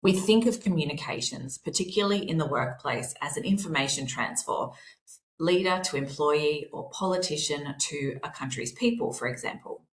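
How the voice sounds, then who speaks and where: A woman with a slightly hoarse voice, presenting a workplace seminar in a measured, explanatory way. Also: low voice, reverberant, low-quality recording. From Australia.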